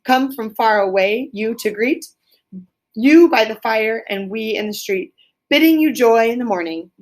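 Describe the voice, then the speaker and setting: A respectful field mouse from a children's storybook, acted out by a woman. It is the polite leader of a band of small carolers and speaks in a deliberate, earnest way. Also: on the high side, expressive, poor audio. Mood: festive reciting. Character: polite elder field mouse leading little carol singers.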